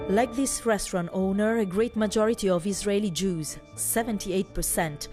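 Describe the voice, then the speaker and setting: A woman's voice: lowish voice, warm tone. A woman reporter reading scripted narration for a news report. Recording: music bed behind voice. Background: music.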